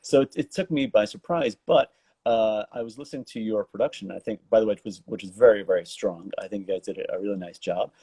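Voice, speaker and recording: A man hosting a casual interview, asking wordy, roundabout questions in a halting way. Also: lowish voice, dark tone, husky, dry recording. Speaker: talk show host, interviewer.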